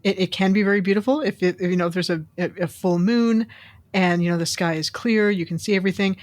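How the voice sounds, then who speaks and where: A woman's voice: medium pitch, fairly even intonation, fast. A woman speaking earnestly and with some drama in a podcast discussion. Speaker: podcast co-host.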